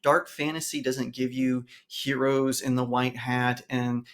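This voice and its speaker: A man's voice: medium pitch, level tone, measured pace, fairly bright tone. A man hosting a video essay, reading scripted narration in a conversational way that speaks straight to the listener. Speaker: host of a fantasy lore channel.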